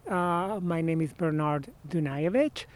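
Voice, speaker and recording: Argentine-accented man in his sixties, animated. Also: on the high side, unhurried. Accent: Argentine Spanish. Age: 60s. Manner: animated storyteller, trailing and restarting phrases. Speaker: interview guest. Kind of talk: casual outdoor interview. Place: outdoors on benches by a marina.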